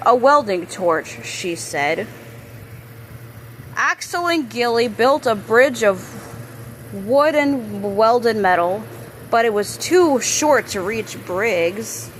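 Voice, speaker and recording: A woman reading a children's book aloud, expressive on characters' lines and sometimes stumbling and correcting herself. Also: fairly high pitch, slight background noise, low-quality recording. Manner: halting reader, stumbles and corrects words. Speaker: storybook reader.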